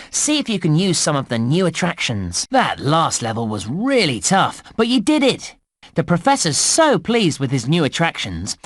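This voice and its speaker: Cheery theme park guide, children's video game narration, very lively intonation, encouraging, exclamatory, instructive, dry studio sound. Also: male voice, fairly high pitch, no echo. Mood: excited. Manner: animated, exclamatory, instructive children's-entertainer delivery.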